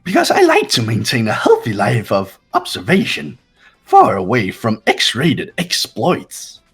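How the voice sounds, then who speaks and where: An actor voicing a mischievous, lewd robotic teddy bear from a video game, with a raspy, gravelly voice and a teasing, theatrical manner. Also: mid-range voice, huge pitch swings, a little slow, faint music behind, exaggerated voice. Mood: gleeful taunting. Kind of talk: cartoonish video game character acting.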